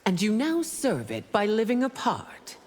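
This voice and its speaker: A woman's voice: mid-range voice, wildly swooping pitch, measured pace, darkish tone. A woman playing a stern, haughty fantasy ruler in a fighting game, speaking formally and imperiously. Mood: stern, cold. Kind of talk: fighting game character dialogue. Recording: long reverb, faint noise.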